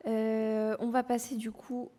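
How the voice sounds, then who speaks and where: A woman moderating a conference session, speaking softly and briefly. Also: fairly high pitch, flat delivery, slow pace. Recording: distant mic in reverberant hall.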